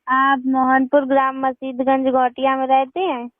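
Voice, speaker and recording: A tinny phone recording carries a young woman's high voice. Her intonation stays flat and even. She is insistent and unflustered in a personal conversation. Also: measured pace, no echo. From northern India. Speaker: phone caller. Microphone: telephone.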